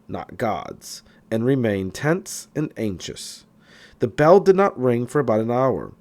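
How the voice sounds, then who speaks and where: A man reading a self-help book aloud as an audiobook narrator, with expressive, emphatic delivery. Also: mid-range voice. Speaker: audiobook reader.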